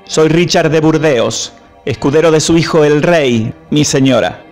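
An actor playing a loyal young medieval squire in a radio drama, formal and respectful. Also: male voice, fairly high pitch, a little slow, a little mellow, soft background music. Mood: formal, dutiful.

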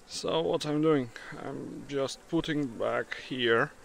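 A non-native English-speaking man, a beekeeper, demonstrating outdoors in a grassy field and talking haltingly with many fillers. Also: mid-range voice, vocal fry, slight background noise. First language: not English. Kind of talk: hands-on demonstration. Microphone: handheld camera mic. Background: bees buzzing.

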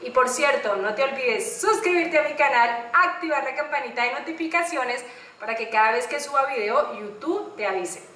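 A woman's voice: high-pitched, lively intonation, moderate pace, bright, forceful tone, a little hiss or hum. A young adult woman from Colombia with a Colombian accent, chatting casually to camera as a reaction video host. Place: small indoor room. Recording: echoey, distant mic, faint music.

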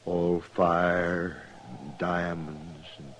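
An actor playing a weakened old gunman friend who talks haltingly in a 1950s radio drama. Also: sits low, fairly even intonation, a little hiss or hum. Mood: wistful. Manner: halting, faltering, trailing off.

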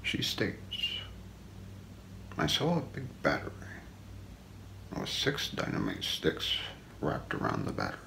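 Very deep, gravelly, hushed middle-aged man. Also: huge pitch swings. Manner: hushed, deliberate, often breaking into near-whisper. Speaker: ASMR role-play narrator. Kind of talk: ASMR true-crime narration read from notes. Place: small room indoors. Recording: faint background noise.

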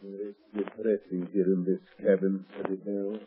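A man's voice: sits low, monotone, moderate pace, natural voice. A man playing a nervous, fearful henchman in an old radio drama, anxious and tremulous. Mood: uneasy. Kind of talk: 1930s radio drama acting. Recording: tinny, noisy 1930s radio broadcast.

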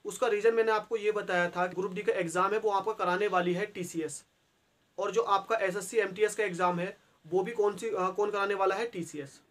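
A young man with a high, light voice explains updates straight to camera. He talks earnestly, circling back and padding with filler pauses. He is recorded in a small indoor room by a brick wall. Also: steady tone, fairly bright tone. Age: young adult. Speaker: exam-news channel host.

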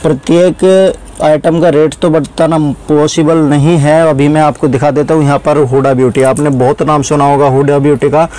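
A man's voice: a little high, level tone, moderate pace, hardly any pauses, mellow, poor audio. Upbeat vlogger man touring a shop. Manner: agreeable, keeps saying yes, addresses viewers. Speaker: vlogger host. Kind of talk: shop tour vlog. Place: wholesale shop storeroom, indoors.

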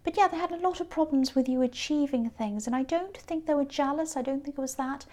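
A woman giving a tarot reading off the cuff, hesitant with many ums and restarts, her voice a little croaky. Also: high voice, fairly quick, a little mellow. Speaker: tarot reader. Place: indoors at a tabletop card spread.

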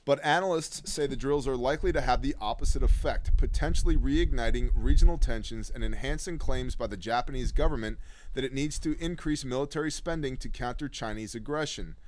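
A man's voice: mid-range voice, fairly quick. A middle-aged man hosting a preparedness show, reading news articles aloud and breaking in with blunt, sarcastic remarks, recorded on a desk webcam. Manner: sardonic, blunt asides between read passages.

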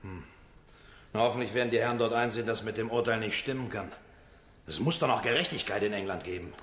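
A man's voice: on the low side, quick pace, fairly bright tone, a little hiss or hum, natural voice. An actor playing an evasive, naive, disreputable accused man in a radio drama, talking hesitantly and colloquially. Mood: sheepish, defensive. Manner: hesitant, colloquial, tag questions.

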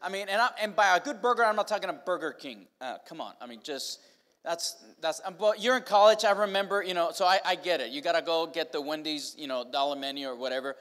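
A man's voice: fairly high pitch, quick pace, fairly bright tone. A middle-aged man from Mexico with a Mexican Spanish accent, preaching a casual, humorous sermon on a large stage into a handheld mic, full of fillers and restarts. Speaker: pastor. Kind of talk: casual sermon to college students. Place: large stage of a church or college auditorium. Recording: long hall reverb.

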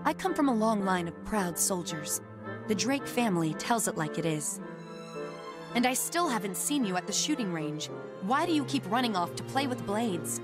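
A woman's voice: mid-range voice, sing-song, normal speed, over music, lightly acted. A woman playing a stern, proud, rule-bound young soldier in a video game, speaking bluntly. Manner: blunt, clipped, scolding. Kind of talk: English game voice acting.

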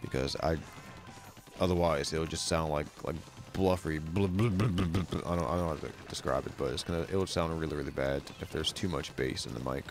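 Young male streamer, extremely deep voice, gravelly fry, casual and foul-mouthed. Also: a little fast, soft background music. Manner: laid-back, swears a lot, talks to the game and chat. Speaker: game streamer. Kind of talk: casual gameplay commentary. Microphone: studio mic with bass roll-off.

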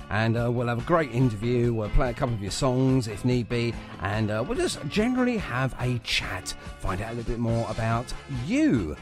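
A man hosting a radio show in a chatty, effusive interview style. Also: mid-range voice. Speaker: radio host.

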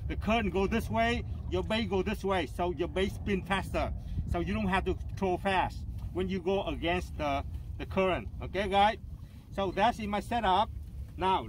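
A man hosting a casual instructional fishing vlog out on a small open boat, explaining haltingly with repetition and frequent check-ins. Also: high voice, a little hiss or hum, rough recording. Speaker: host of a fishing channel. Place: small open motorboat out on a bay.

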